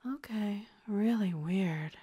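A woman's voice: medium pitch, slow pace, mellow, husky. A woman voicing a bewildered, defiant captive man who protests with alarm in an audio story. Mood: confused. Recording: reverberant hall-like echo.

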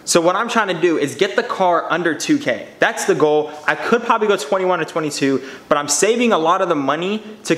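A man's voice: fairly high pitch, fairly bright tone. A man in his twenties talking straight to camera in his home garage, earnest and slangy, addressing his viewers as "you guys". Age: 20s. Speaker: car channel vlogger. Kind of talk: casual vlog talk to camera. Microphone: camera mic. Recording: echoey garage room.

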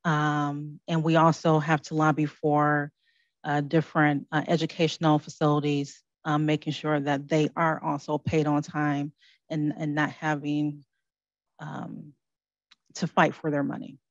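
A woman speaking on a panel, soft-spoken and hesitant with many pauses. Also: sits low, monotone, no echo, muffled recording. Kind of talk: panel talk. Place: conference room.

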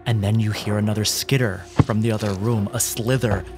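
A man narrating a horror tabletop game as game master, with dramatic, descriptive and deliberate phrasing. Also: on the low side, steady tone. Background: background music.

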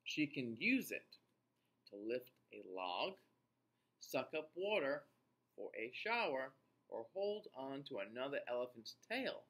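A man in his forties reading a children's book aloud and speaking deliberately to young viewers. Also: medium pitch, sing-song, fairly bright tone. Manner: slow, deliberate, child-directed delivery. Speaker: children's read-aloud host. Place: small indoor room.

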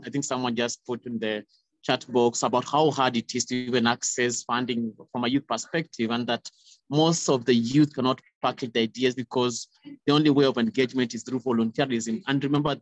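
A man's voice: medium pitch, no room sound. A young man answering as a panelist on a phone video call, repeating words as he builds long points. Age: young adult. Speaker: youth panelist. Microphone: phone at arm's length on video call. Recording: dull, lo-fi video call audio.

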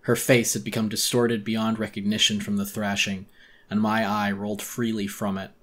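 Male narrator for dramatic horror reading. Intense, deliberate, slightly deep, with a tense forceful edge. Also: little pitch movement. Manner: intense, theatrical, deliberate storytelling. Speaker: audiobook narrator.

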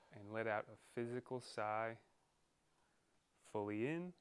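A man leading a guided meditation in a soothing, deliberate manner, pausing between short phrases. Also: lowish voice, smooth.

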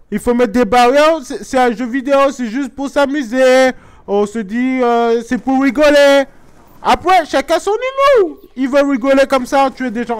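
A man voicing a chatty middle-aged Guadeloupean mother who earnestly and naively explains a shooter game. She speaks with a Guadeloupean French accent and rambles in mock-naive asides. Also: very high-pitched. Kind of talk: comic gameplay commentary in character.

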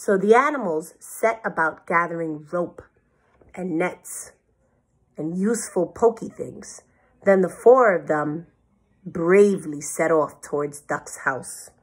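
A woman's voice: lowish voice, slow pace, slightly rough, poor audio. A woman in her thirties reading a picture book aloud expressively for children. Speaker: storybook reader. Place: bedroom, indoors.

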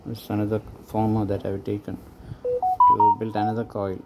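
A man giving an unscripted how-to narration while working at a table indoors, speaking haltingly in trailing phrases. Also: on the low side, completely flat delivery, gravelly, muffled recording, over music. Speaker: hobbyist presenter. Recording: noticeable background noise.

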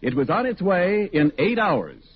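A man's voice: high-pitched, very animated, measured pace. A man reading scripted introductions as a 1940s radio announcer. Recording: dull, faintly noisy 1940s radio recording.

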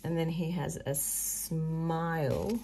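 A woman hosting a casual craft tutorial with a hoarse, croaky voice, chatting and muttering asides as she works at a table. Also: deep voice, steady tone, takes it slowly, dark tone, a little hiss or hum. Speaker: craft video host. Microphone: overhead camera above a table, hands only.

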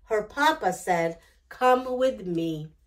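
A middle-aged woman hosting storytime, reading a picture book aloud in an expressive, deliberate way. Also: medium pitch. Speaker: children's storytime host. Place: small decorated indoor storytime set.

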